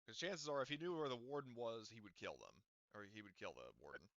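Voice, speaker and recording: A man guides players through a tabletop game in loose, halting speech full of restarts. His voice is bright and smooth, recorded dry and up close on somewhat dull, lo-fi audio. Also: medium pitch, animated, no echo. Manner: halting, many restarts and fillers. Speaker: game master. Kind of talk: casual tabletop game narration.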